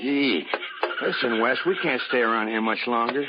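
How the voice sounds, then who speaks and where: An actor playing a wry, stranded adventurer in a 1950s radio drama, with a dry, sardonic delivery. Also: male voice, medium pitch, moderate pace, muffled recording, loud music behind the voice, lightly acted. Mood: concerned. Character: wry stranded time-travelling adventurer, matter-of-fact under pressure. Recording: noisy, band-limited 1950s radio recording.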